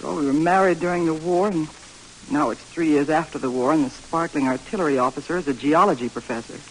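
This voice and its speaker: Pleading housewife played by an actress, hissy radio. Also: female voice, low-pitched, quick pace, natural voice, rough recording. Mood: sad, resigned. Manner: theatrical, insistent questioning. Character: pleading, proud young housewife and wife. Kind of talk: 1940s radio drama acting. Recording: hissy old radio broadcast.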